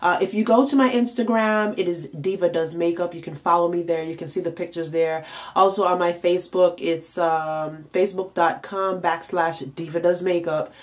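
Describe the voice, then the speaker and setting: Stuffy tired woman vlogging fashion week tips, kinda low voice, chatty w lots of ums. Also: steady tone, normal speed. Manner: chatty, frequent fillers, emphatic rhetorical questions. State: congested, tired. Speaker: makeup and nail artist vlogger. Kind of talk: casual advice vlog to camera.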